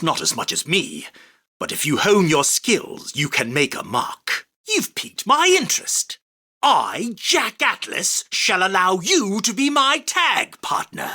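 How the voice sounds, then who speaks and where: Arrogant duelist king, raspy and theatrical. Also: high-pitched, pushed and bright, full character voice. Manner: pompous, theatrical, commanding. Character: arrogant, grandiose self-proclaimed king of card duelists. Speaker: voice actor. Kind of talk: video game character battle lines.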